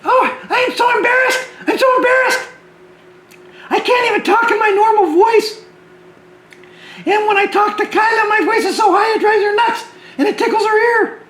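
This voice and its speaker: A man voicing a shrunken, embarrassed little creature with a high, squeaky voice, talking fast and nearly shouting to children. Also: roomy sound, slight background noise, rough recording. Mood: embarrassed pleading. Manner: excited, fast, near-shouting. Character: shrunken tiny male creature, embarrassed and pleading.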